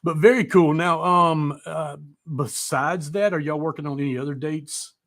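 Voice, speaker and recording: A man's voice: a little high, steady tone, moderate pace, fairly bright tone. A man hosts a music chat in a loose, informal style. He talks with enthusiasm and the casual wording of an easy conversation. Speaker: host of a music talk show.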